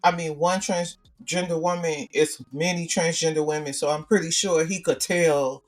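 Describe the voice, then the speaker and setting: A woman with a naturally deep voice talks through a casual podcast chat as co-host, repeating herself to drive a point home. She sits a little far from the mic, and soft music plays underneath. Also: fairly even intonation, normal speed.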